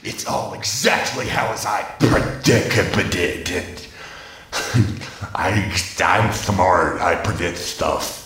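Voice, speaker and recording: A man's voice: deep voice, extremely slow, fairly bright tone, full character voice. A man voicing a smug, boastful robot villain with gloating delivery in an amateur radio play. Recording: roomy echo on the voice.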